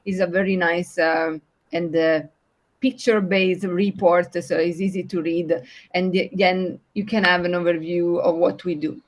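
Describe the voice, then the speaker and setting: A woman from Italy with an Italian accent, presenting slides at an online project meeting, pausing often with filler sounds. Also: on the low side, fairly even intonation. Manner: hesitant, many filler sounds and restarts.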